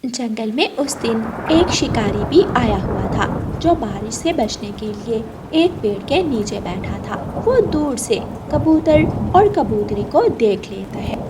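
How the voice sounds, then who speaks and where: A woman narrates a scripted cartoon story for children. Her voice is a little high and she takes her time, with rain and thunder noise clearly audible behind her. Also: animated, measured pace, few pauses.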